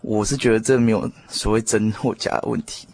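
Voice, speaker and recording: A man giving his reflections in a casual discussion, deliberate and repeating phrases as he thinks. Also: fairly low pitch, steady tone, darkish tone. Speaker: panel discussion participant.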